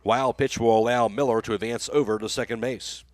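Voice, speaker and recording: A man's voice: medium pitch, steady tone, fairly quick. An American man doing live radio play-by-play of a baseball game as the announcer. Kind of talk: live sports commentary.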